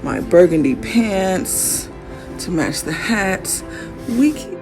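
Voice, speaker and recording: A raspy woman vlogging casually about her own work, admiring it out loud and laughing often. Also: mid-range voice, lively intonation, slow pace. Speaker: fashion designer vlogger. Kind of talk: casual show-and-tell vlog. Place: workshop in Arizona. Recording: music playing behind the voice.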